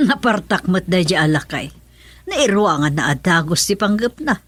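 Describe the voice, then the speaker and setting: A woman's voice: lowish voice, sing-song, moderate pace, loud music behind the voice. A woman playing a pushy, scheming mother in a radio drama, speaking insistently with an Ilocano accent. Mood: nagging, insistent. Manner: nagging, insistent. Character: pushy, scheming mother urging her daughter to marry money.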